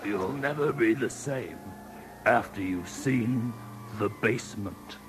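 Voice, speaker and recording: An actor playing a solemn male movie-trailer voiceover announcer, slow and dramatic, in a radio comedy spoof. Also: fairly low pitch, over music. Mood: portentous.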